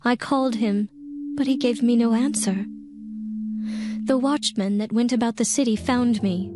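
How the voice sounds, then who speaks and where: A woman playing a yearning, lovesick young bride in a dramatized scripture reading, with tender, measured delivery. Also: on the high side, very noisy, lightly acted. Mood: anguished longing. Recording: music under the voice.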